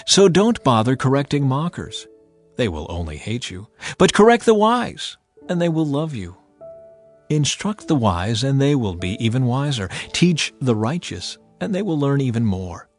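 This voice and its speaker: A man narrating dramatized scripture in a solemn, deliberate storytelling manner. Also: medium pitch. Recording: music behind the voice. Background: music.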